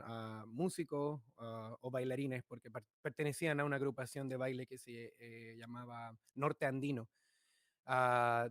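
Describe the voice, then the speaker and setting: A man's voice: mid-range voice, no echo. Chilean man, easygoing, dry close sound. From northern Chile. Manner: relaxed, searching, many filler sounds. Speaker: musician, guest. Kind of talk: conversational interview.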